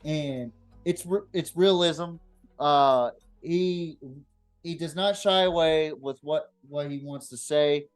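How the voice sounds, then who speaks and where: A man with a slightly high voice hosts a loose football podcast. He talks in blunt, slangy, swear-filled bursts, often repeating words as he finds his way. Some music sits behind him. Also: slow, clear, resonant tone. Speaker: podcast host. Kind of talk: casual unscripted sports talk chat.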